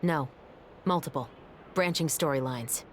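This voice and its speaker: A terse, low-voiced eye-patched captain. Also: female voice, a little slow, noisy background. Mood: cryptic. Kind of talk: video game dialogue. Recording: game music behind.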